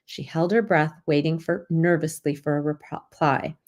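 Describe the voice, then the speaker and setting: Woman reading a story aloud, lower-voiced. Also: steady tone, moderate pace, a little mellow. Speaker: children's author reading her book aloud. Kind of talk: scripted story read-aloud.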